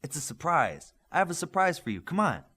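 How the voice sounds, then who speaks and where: Man reading as an eager, excitable boy superhero trainee. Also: on the high side, fairly quick, husky, pitched above the performer's own voice, exaggerated voice. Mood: excited. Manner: earnest, excitable.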